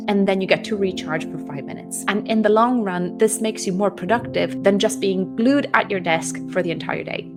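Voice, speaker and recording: Italian-accented woman giving work advice. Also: mid-range voice. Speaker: video host. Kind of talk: scripted advice monologue. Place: lives in the UK.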